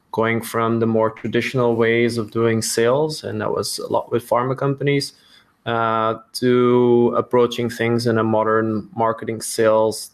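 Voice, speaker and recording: A man speaking as a podcast guest, explaining business in measured, somewhat hesitant phrases. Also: medium pitch. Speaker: podcast guest, startup founder.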